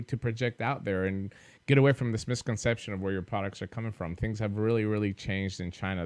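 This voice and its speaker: A man's voice: on the low side, dry recording. A man with a Greek accent, co-hosting a casual show and teasing his partner. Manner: teasing, explanatory. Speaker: co-host.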